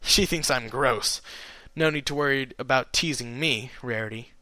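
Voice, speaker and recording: Man as a bashful, bright-voiced young stallion. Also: medium pitch, moderate pace, no echo, poor audio. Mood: self-deprecating. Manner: quiet, muttering, hesitant. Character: bashful, self-deprecating male pony, awkward narrator hero.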